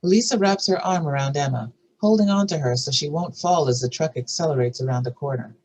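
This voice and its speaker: Husky narrator reading screenplay directions. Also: medium pitch, brisk, a little mellow, poor audio. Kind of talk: scripted screenplay narration at a table read.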